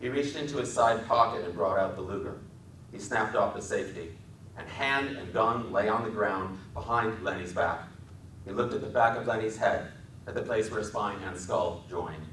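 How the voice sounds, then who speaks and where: A man's voice: on the low side, normal speed, fairly bright tone. An American man narrating a literary story as a dramatic reading. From Wisconsin, United States. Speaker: author and dramatic reader. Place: public library event room. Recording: echoing hall, distant mic, faint noise.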